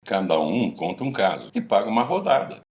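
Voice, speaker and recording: A blunt middle-aged ringleader played by a man in a radio play, goading his old friends. His voice is very low, with a lively swing in intonation. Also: a little fast, natural voice. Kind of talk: radio drama acting.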